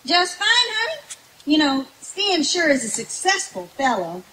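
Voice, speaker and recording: A woman's voice: very high voice, wildly swooping pitch, measured pace, pushed and bright, full character voice. A woman playing a folksy, jokey country gal in an old-time radio comedy skit, with a giggly delivery. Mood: folksy, joking. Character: folksy, jokey, man-hungry country woman named Mabel. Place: outdoor woodland stage at night. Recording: hissy live camcorder recording from a distance.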